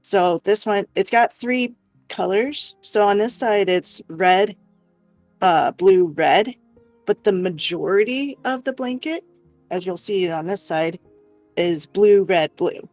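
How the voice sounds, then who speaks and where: A woman giving a casual show-and-tell of her crafts on a livestream, explaining in a halting, self-interrupting way. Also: medium pitch, darkish tone, no room sound, soft background music. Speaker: crafter showing crochet projects on a livestream.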